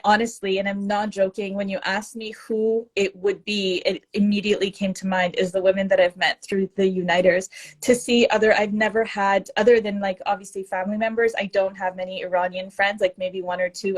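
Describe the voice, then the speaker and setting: Female co-host, earnest activist delivery, average pitch, casual livestream tone. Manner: earnest, urgent, reads names and hashtags. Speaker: co-host sharing activism updates.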